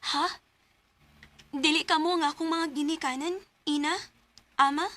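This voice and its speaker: Young radio drama heroine: high voice, tender, sincere, wounded. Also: female voice, steady tone, measured pace, a bit bright, slight background noise. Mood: shocked, pleading.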